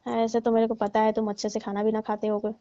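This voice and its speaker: A woman's voice: on the high side, completely flat delivery, quick pace, warm tone, dry recording, lightly acted. A woman playing a flirtatious middle-aged auntie, coaxing and teasing in a casual phone conversation. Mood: affectionate. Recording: muffled lo-fi phone call recording.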